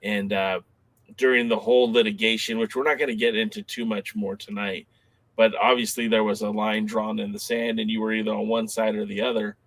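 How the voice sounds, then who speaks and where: A man's voice: on the low side, level tone, a little fast, a bit bright. A man co-hosting a podcast, recalling past events in a rambling, casual way with many fillers. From Southern California. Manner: rambling, filler-heavy recollection. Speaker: podcast co-host.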